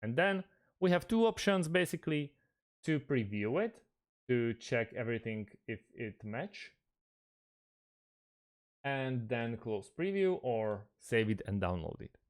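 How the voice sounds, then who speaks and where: A man's voice: mid-range voice, sing-song, unhurried, pauses often. Man narrating a step-by-step tutorial. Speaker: tutorial presenter.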